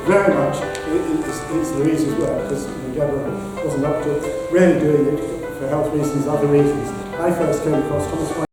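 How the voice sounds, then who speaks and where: An older man introduces a guest speaker in a halting, informal way, full of little pauses and restarts. His voice rings through a large hall with a long, trailing reverb, and music sits under it. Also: a little high, steady tone. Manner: halting, with many fillers and restarts. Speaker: introducer at a society talk.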